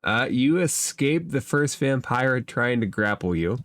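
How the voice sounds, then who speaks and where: A man narrating a tabletop roleplaying game as game master, explaining rules and addressing the players in a measured way. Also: medium pitch, animated, no echo, clean studio sound.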